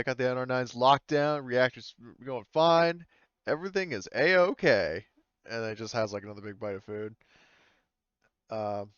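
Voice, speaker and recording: A man's voice: fairly low pitch, clean tone, dry recording, lo-fi sound. A man narrating casually as game master of a tabletop game, improvising with frequent hesitations.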